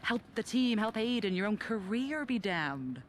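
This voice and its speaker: Teasing female confidante, sardonic, animated intonation, game drama, faint background noise. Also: medium pitch, unhurried. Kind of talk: video game cutscene acting.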